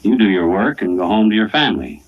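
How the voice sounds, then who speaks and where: An actor playing a world-weary, down-to-earth middle-aged husband in a 1940s radio suspense play, speaking in a plain, folksy way on an old recording. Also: male voice, fairly low pitch, animated, fast talker, phone-quality sound. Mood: grim. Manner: plain-spoken, folksy narration and dialogue.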